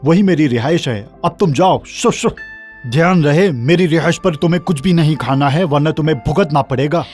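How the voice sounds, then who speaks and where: Man voicing a bossy, flustered cartoon rabbit, high-pitched. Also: a little mellow, soft background music, close to an everyday voice. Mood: bossy, scolding. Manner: imperious, scolding, hurried. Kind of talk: children's cartoon story acting.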